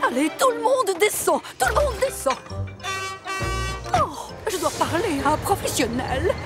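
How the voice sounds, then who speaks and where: A very high, wildly swooping female voice for a vain cartoon railcar. She is haughty, theatrical and easily flustered, drawing out her words slowly over loud music. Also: big pitch swings, exaggerated voice. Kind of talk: French children's cartoon dub.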